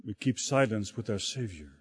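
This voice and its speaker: A man preaching a sermon in a slow, halting delivery, often repeating words as he searches for the next phrase. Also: lowish voice, expressive. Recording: long hall reverb.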